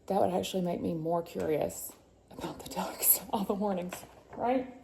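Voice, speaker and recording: A woman's voice: lowish voice, normal speed, creaky, dark tone, slight background noise. A woman reads a picture book to her children, theatrical and suspenseful, with chatty asides. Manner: theatrical, suspenseful, often drawing out words. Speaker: mother reading a picture book to her sons. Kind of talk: dramatic storybook read-aloud with chatty asides.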